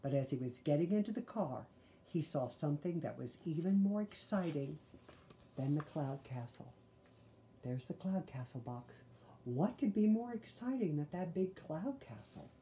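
A woman reads a children's storybook aloud, deliberate and expressive, with chatty asides. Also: low voice, a little hiss or hum. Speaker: storybook reader. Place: small living room, indoors.

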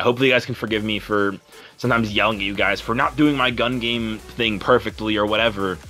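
Deep-voiced young man, earnest and rambling. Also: pushed and bright. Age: young adult. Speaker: YouTuber and streamer. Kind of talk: apologetic voice-over over gameplay.

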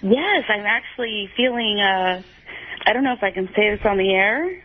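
A woman's voice: mid-range voice, lively intonation, moderate pace. A woman calling in to a radio show, gushing and flirtatious, with a giggly manner. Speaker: caller. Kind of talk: phone-in call. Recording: tinny phone line on radio.

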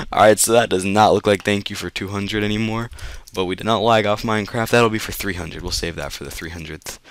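A young man with a deep, low voice that sounds a bit sick and off today. He rambles casually over his gameplay as a channel host, correcting himself as he goes. The talk is easygoing and unscripted. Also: fairly even intonation, a little fast, no room sound.

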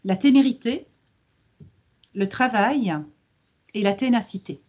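A middle-aged woman, a lawyer, giving measured, didactic explanations as a guest on a video conference. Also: a little high, darkish tone. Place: book-lined office, video call. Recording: dull video-call audio.

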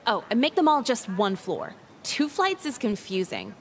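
An actress voicing a jaded, manipulative teenage schoolgirl in a visual novel with deadpan sarcasm. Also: a little high, normal speed, a little hiss or hum. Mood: dry, candid.

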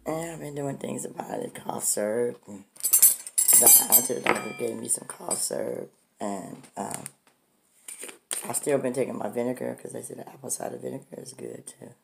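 A middle-aged woman with a hoarse voice from a cold, chatting to her viewers while eating breakfast in her home kitchen. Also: extremely low pitch, moderate pace, noisy background. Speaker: food vlogger. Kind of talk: casual eating vlog chat.

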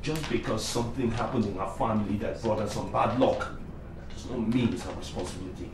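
An actor playing a frustrated young husband and son who pleads and breaks into angry outbursts. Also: male voice, lowish voice, brisk, background noise, close to an everyday voice. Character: frustrated young family man. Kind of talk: film drama acting.

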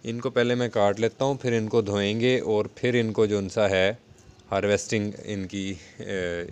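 A man giving a casual, explanatory gardening vlog narration in a conversational, repetitive way. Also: fairly low pitch. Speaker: home gardening video host.

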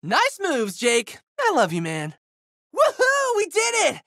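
A man's voice: extremely high pitch, wildly swooping pitch, slow pace, sharp tone, dry recording, clean studio sound, exaggerated voice. A male actor voicing a spirited, goofy teenage boy hero in a video game, with brash, big-hearted, slangy delivery. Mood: triumphant. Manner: exuberant, slangy, emphatic delivery. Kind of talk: video game character battle lines.

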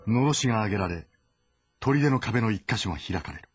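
A man's voice: fairly low pitch, animated, fairly quick. A man narrating a historical game story in a dramatic, scripted style.

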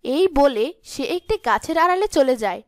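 A woman's voice: sits high, expressive, measured pace, no room sound, studio quality. A woman reading scripted storytelling narration for a cartoon.

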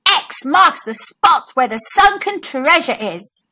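An excitable pirate captain from a storybook, acted out by a woman, bold and commanding. The voice is high, bright and very lively, with emphatic delivery. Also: slow, exaggerated voice. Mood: eager.

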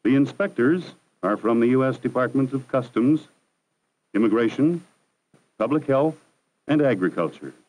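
A man narrating a 1960s educational film in a measured, formal, instructive manner. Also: medium pitch, lively intonation, darkish tone, rough recording. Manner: measured, formal, didactic delivery. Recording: 1960s archival film soundtrack.

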